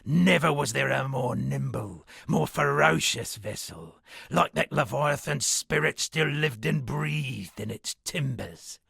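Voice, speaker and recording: An actor playing a self-satisfied town poet and storyteller in a video game, speaking in a florid, dramatic manner with a gravelly, husky voice. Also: male voice, medium pitch, lively intonation, takes it slowly, a bit bright, no room sound, studio quality, full character voice. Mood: ominous storytelling. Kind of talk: theatrical game dialogue with declaimed verse.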